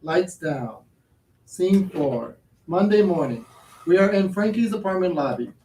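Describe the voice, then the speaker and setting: A woman's voice: on the low side, animated, a little slow, mellow. A woman reading stage directions as scripted narration for a staged play reading. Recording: echoey, distant, faint noise.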